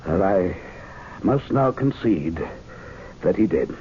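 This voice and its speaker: Measured, expressive club gentleman. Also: sits low. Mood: pondering. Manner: measured, formal. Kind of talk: radio mystery drama acting. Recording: dull vintage radio recording with hiss.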